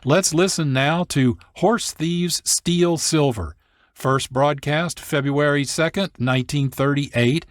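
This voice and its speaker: A man's voice: a little high, takes it slowly, no echo, clean studio sound. A man hosting a radio program, giving a scripted introduction. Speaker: program host.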